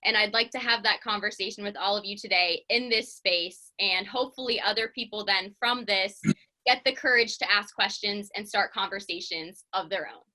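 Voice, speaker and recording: A young woman in her twenties hosts the conversation with a very bright, clear-toned voice. She speaks in an earnest, measured way, as if reading a prepared opening. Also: medium pitch, low-quality recording. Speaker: host, sports reporter. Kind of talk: scripted introduction.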